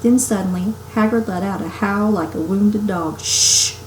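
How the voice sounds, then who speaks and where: Female read-aloud narrator, mid-range voice, expressive storytelling for children, recorded in a reverberant home room. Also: mid-range voice, moderate pace. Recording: roomy home recording with faint noise.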